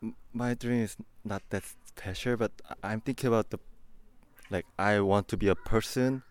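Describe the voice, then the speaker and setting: Deep, smooth young Korean-accented man. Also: steady tone, moderate pace. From South Korea. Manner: thoughtful, hesitant. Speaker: interviewee. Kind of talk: casual personal answer.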